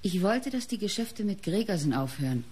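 An artist's wife in a radio crime drama, proud and embittered. She defends herself indignantly in a husky voice. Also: mid-range voice, big pitch swings, brisk, mellow. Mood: bitter. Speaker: actress in a radio crime play. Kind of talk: German radio play acting.

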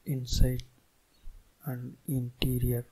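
A man guiding a meditation in a soothing, deliberate manner, speaking in short phrases with long pauses. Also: medium pitch, completely flat delivery, mellow, breathy edge, rough recording. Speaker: meditation guide. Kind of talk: guided meditation instruction.

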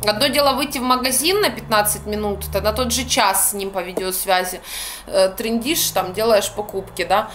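A woman's voice: medium pitch, fairly even intonation, normal speed, a bit bright, a little hiss or hum. A young woman with a Ukrainian accent, venting in a blunt, slangy, exasperated way while filming herself on a phone in a small room. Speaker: vlogger. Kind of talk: casual ranting monologue. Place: small room by a curtained window, indoors. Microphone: phone camera at arm's length.

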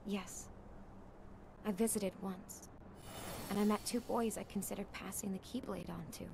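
A woman voicing a solemn, gentle young warrior in a video game cutscene, speaking in a soft, measured way. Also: medium pitch, fairly even intonation, gravelly, background noise, lightly acted. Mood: wistful.

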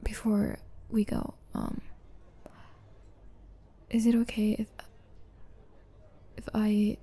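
Casting: actress as a tender, sorrowful young girlfriend, slow halting delivery, soft breathy half-whisper, ASMR roleplay. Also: mid-range voice, level tone, full character voice. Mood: tender, grateful. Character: tender, sad young girlfriend saying goodbye.

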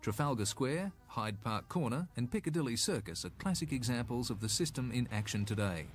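A man's voice: mid-range voice, lively intonation, brisk, faint music behind. A man with an Australian accent narrating a scripted 1980s road safety film in an instructive, slightly folksy way.

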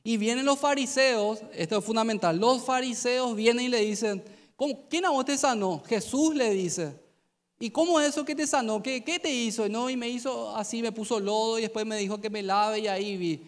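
A man's voice: very high voice, normal speed. A man in his thirties with a Paraguayan Spanish accent, preaching a youth sermon on stage into a handheld mic, telling the story in a conversational way and acting out the dialogue. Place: large stage in an auditorium. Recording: long hall reverb.

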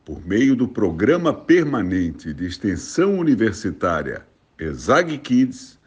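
A man's voice: lowish voice, very animated, unhurried, a little mellow, husky, lo-fi sound. A man reading a scripted announcement as an announcer.

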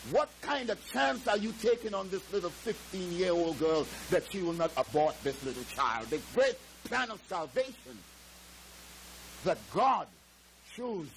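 A man in his seventies, from Trinidad, preaching a sermon on a church stage through a headset mic, stretching words and breaking into shouted exclamations. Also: sits high, slight background noise, low-quality recording. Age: 70s. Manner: moves and gestures around the pulpit. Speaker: pastor. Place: church stage with drum kit.

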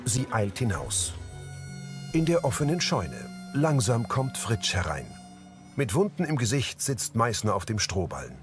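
A man's voice: medium pitch, big pitch swings, a little slow. A man reading scripted audio description of the action in a drama. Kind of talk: scripted narration of screen action. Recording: music behind the voice.

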